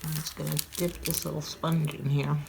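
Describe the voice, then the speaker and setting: An older woman hosting a craft tutorial, chatting and thinking aloud as she works, sounding a little stuffy and hoarse from a cold. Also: low-pitched, little pitch movement, moderate pace. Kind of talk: relaxed craft demonstration. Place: craft table at home, indoors. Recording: audible crinkling craft noise.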